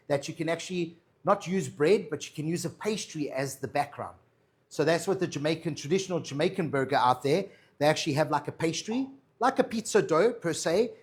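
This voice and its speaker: A man with a South African accent, a chef chatting casually through a cooking demo and answering viewer questions. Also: a little high. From Madeira, raised in South Africa. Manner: chatty, reassuring, tags lines with "all right?" and "yeah?".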